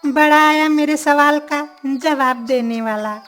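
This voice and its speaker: A woman's voice: sits high, unhurried, fairly bright tone, faint music behind. A woman voicing a haughty, clever young princess in a Hindi cartoon story, with an imperious manner. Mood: scornful.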